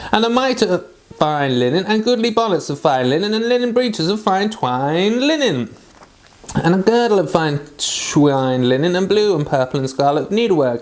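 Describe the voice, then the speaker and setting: High-voiced man reading scripture aloud. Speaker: Bible reader.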